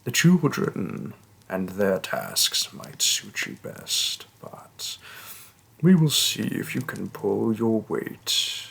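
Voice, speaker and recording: A man voicing a hulking tusked orc shaman, stern and gruff yet hospitable, speaking with formal, deliberate phrasing in a fantasy roleplay audio. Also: on the low side, big pitch swings, a bit bright, heavily acted. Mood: stern, commanding. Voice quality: gravelly, husky.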